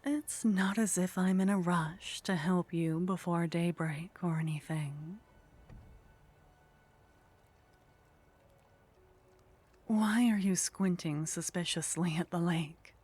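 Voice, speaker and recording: A woman playing a teasing, condescending female vampire in a soft ASMR roleplay, languid and haughty, often slipping into whispers. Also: fairly low pitch, unhurried, hardly any pauses, husky. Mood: wry, coaxing. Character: teasing, condescending female vampire, sly, centuries-worldly contact.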